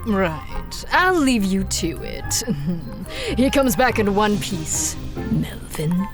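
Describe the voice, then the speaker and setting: Casting: playful corporate henchman recruiter, animated, slow-paced, radio-play delivery. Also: medium pitch, over music, exaggerated voice. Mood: firm. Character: breezy female villain recruiter, businesslike, teasing. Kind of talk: audio drama acting.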